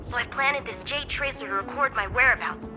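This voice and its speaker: A woman's voice: fairly high pitch, a little fast, over music, lightly acted. A woman voicing an earnest, dutiful young boy soldier in a video game, speaking in formal, report-like phrases. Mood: urgent, formal. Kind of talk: video game character voice acting.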